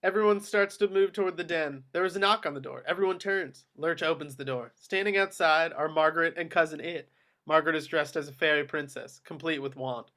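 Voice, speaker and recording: A man's voice: on the high side, fairly quick, sharp tone. A man reading stage directions as the narrator of a script table read.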